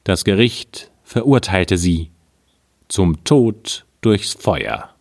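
A man reads an old fairy tale aloud in a calm, measured way. He takes his time, and his voice sits a little low. The sound is dry and close, with no room echo. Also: vocal fry. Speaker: storyteller.

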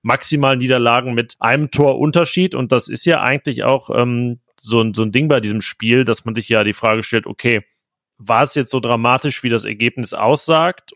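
A man's voice: mid-range voice, a bit bright, dry recording, studio quality. A man hosting a casual football podcast, chatty with frequent fillers. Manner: conversational, filler-heavy. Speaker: podcast host, sports reporter.

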